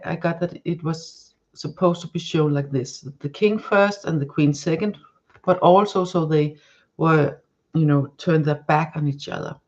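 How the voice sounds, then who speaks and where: A woman's voice: sits low, darkish tone, dull audio. Woman reading tarot cards. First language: Danish. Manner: searching for words, many restarts and fillers. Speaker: tarot card reader. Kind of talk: live-stream tarot reading.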